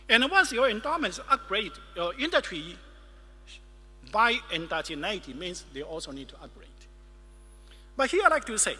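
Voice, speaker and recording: A middle-aged man delivers a keynote lecture from a podium microphone, his voice high and strikingly bright. He talks emphatically in short, measured phrases with a lively, swinging pitch, and his words ring out with a long reverberant tail from the large hall. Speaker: keynote speaker. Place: large ornate wood-panelled hall, indoors.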